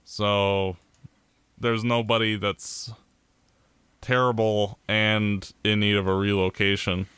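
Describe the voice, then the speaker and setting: A Canadian man co-hosting a podcast, giving blunt opinions in casual sports chat, with halting restarts. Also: lowish voice, level tone, a bit bright, smooth, dry recording. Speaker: podcast co-host.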